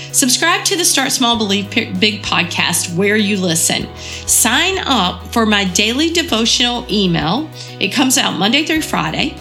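A woman's voice: on the high side, sharp tone. A woman hosting a devotional podcast, earnest and encouraging, her voice a bit froggy from a cold. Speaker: podcast host.

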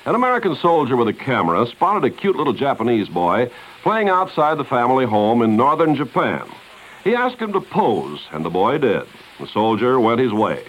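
A male radio announcer narrates in a polished, earnest 1950s style. His intonation is very animated and dramatic. The old broadcast sounds dull, with faint hiss. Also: mid-range voice.

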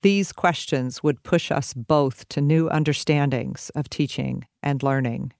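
A woman reading a nonfiction audiobook in a measured, articulate way, as a teacher and author. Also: sits low, vocal fry, darkish tone, no room sound, clean studio sound. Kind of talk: scripted audiobook narration.

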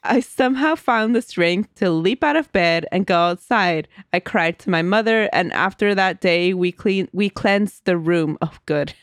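A woman's voice: mid-range voice, expressive, measured pace, no room sound, studio quality. A woman co-hosting a podcast, chatting casually, laughing often and reading a listener's story aloud. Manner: giggly, chatty, reads aloud with asides. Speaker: podcast co-host.

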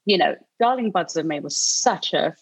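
A woman's voice: on the low side, expressive, normal speed, breathy edge. A woman from South London with a slightly American-tinged accent, interviewing in an upbeat, encouraging way. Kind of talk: interview chat.

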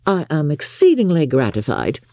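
A jovial young gentleman from an audiobook, acted by a woman. The pitch moves freely in a mellow voice, easygoing, impulsive and good-natured. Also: very animated, moderate pace, dry recording, studio quality. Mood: cheerful protest. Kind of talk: audiobook character acting.

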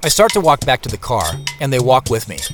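A man's voice: medium pitch, very animated, fast, lightly acted. A man playing a wary, guarded narrator telling his own story introspectively in an audio drama. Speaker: lead actor and narrator. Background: music.

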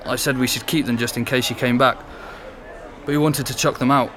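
An actor playing an exasperated, chatty former flatmate telling stories in an animated, conversational audio drama style. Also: male voice, medium pitch, fairly quick, lightly acted. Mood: surprised, annoyed. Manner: animated storytelling, mimicking and exclaiming.

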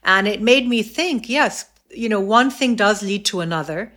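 A woman's voice: medium pitch, lively intonation, moderate pace, fairly bright tone. A middle-aged woman from Egypt, raised abroad, speaking as a podcast guest. She talks reflectively, with many fillers and restarts. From Egypt, raised in the US, Saudi Arabia and England. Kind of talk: reflective interview conversation.

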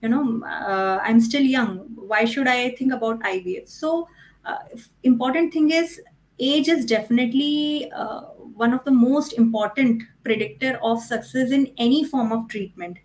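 Indian-accented woman doctor, higher-pitched voice. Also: poor audio. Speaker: fertility doctor, podcast guest. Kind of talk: explanatory interview answers.

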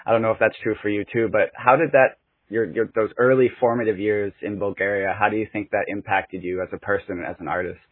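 A man hosts a podcast interview in an easygoing, casual way, filling the gaps with "um" and "you know." His voice sits fairly low and sounds husky. The recording is dry and tinny, like it came through a phone line. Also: fairly even intonation, quick pace, no echo. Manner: laid-back, friendly, fillers and "you know". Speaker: podcast host.

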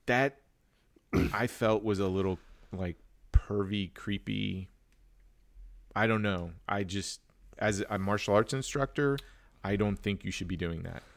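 Man, low voice, podcast host, casual movie talk, rambling, close dry sound. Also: measured pace, gravelly, long pauses, dry recording. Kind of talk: casual chat.

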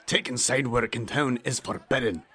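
An actor playing a frightened petty crook in an audio drama, pleading and stammering in rough working-class speech. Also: male voice, medium pitch, moderate pace, bright, forceful tone. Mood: panicked pleading. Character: desperate, frightened male street courier and petty crook.

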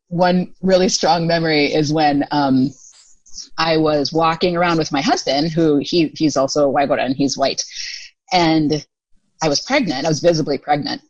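A woman's voice: low voice, no echo. Chatty American woman, lo-fi call audio. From United States. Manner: chatty, many fillers, laughs often. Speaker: podcast guest. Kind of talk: casual storytelling interview.

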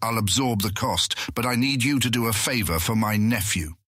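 An actor playing a genial, naive-seeming guildmaster in a fantasy audio drama, with breezy, eager, lordly phrasing. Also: male voice, lowish voice, moderate pace, a bit bright, husky, dry recording, studio quality. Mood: blithely demanding.

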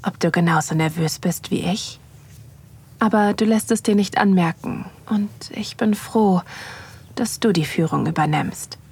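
An actress playing a nervous, curious wife who narrates an intimate erotic audio drama in a soft, often whispering voice. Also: female voice, on the low side, measured pace, husky, heavily acted. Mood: aroused, absorbed.

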